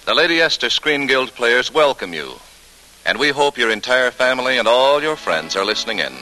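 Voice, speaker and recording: A male announcer from a 1940s radio broadcast, formal and polished, with a very animated, swooping voice. He presents in grand showman fashion over prominent music, on an old radio recording. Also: mid-range voice, rough recording.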